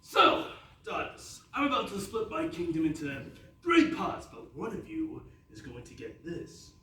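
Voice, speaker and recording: Male actor as foolish ageing king, theatrical, highish lively voice; distant, echoey stage pickup. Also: a little slow, full character voice. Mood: pompous, naive. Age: fifty, as the figure. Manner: dithering, naive, theatrical. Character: old, dim-witted king and doting father. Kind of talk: comic stage readers theatre. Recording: echoey room, far from mic.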